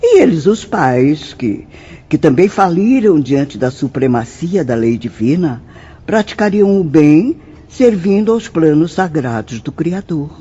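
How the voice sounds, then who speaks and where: An earnest spirit guide with a Brazilian accent and a mellow, very expressive voice. She teaches formally and kindly in a radio drama. The sound is muffled, with music underneath. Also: deep voice, measured pace, breathy edge, heavily acted. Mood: solemn. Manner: formal, didactic. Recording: muffled audio with music behind.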